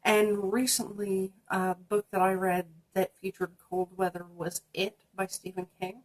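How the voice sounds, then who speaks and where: A woman's voice: medium pitch, flat delivery, unhurried, low-quality recording. A young woman, stuffy from a sinus infection, chatting casually about books on her channel, with lots of ums. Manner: chatty, many ums, reading prompts aloud. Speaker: book vlogger. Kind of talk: casual book tag video.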